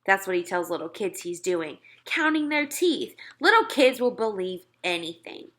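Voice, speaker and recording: Bright, animated woman storyteller. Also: medium pitch, normal speed. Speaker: read-aloud storyteller. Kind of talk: expressive children's story narration.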